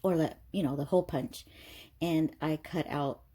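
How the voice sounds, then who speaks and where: A woman's voice: normal speed, mellow, slightly rough. A woman with a stuffy, allergy-clogged voice, chatting casually through a craft tutorial at home, full of ums and little asides. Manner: chatty and rambling, many ums, gushes in a high voice over cute things. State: stuffy from allergies, clearing throat. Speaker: craft video host.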